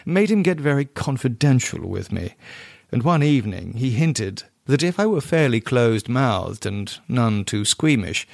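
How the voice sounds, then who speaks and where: A man's voice: medium pitch, vocal fry, a little mellow, dry recording. Man narrating a horror story, dry recording. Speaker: audiobook reader, podcast narrator. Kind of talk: dramatic first-person horror story narration.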